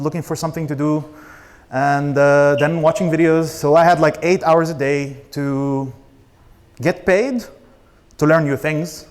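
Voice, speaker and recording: A man's voice: fairly high pitch, darkish tone. A man in his thirties from Israel, an Arabic speaker, giving an informal, blunt personal talk as a startup founder. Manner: casual, blunt, swearing.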